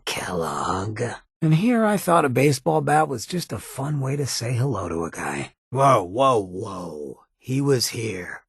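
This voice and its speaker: An actor playing a swaggering, irreverent outlaw drifter in a video game, with a raspy, gravelly voice and a slangy, sardonic drawl. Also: male voice, medium pitch, no room sound, clean studio sound, heavily acted. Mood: wary, grim. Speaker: video game companion character. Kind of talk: scripted game dialogue, wry banter.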